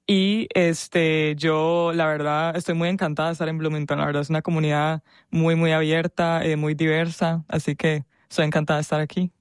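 A woman's voice: deep voice, flat delivery, moderate pace, few pauses, clean tone, dry recording, studio quality. A woman, a guest talking casually in an interview, chatty and full of small fillers. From Costa Rica. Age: 20s. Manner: warm, chatty, with frequent laughs and fillers.

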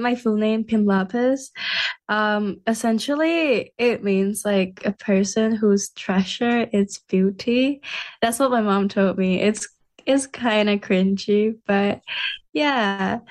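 A woman's voice: medium pitch, slow pace. A young woman from Thailand, a student guest speaking casually. She is a little hesitant, with "um"s and repeated words. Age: young adult. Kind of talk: casual conversation.

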